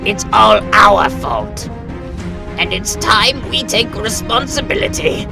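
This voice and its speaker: Woman voicing a very creaky, solemn ice leader. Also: medium pitch, animated, over loud music. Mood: solemn, commanding. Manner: solemn, deliberate, dramatic. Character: grave, noble female leader of ice people. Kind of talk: animated fantasy dialogue.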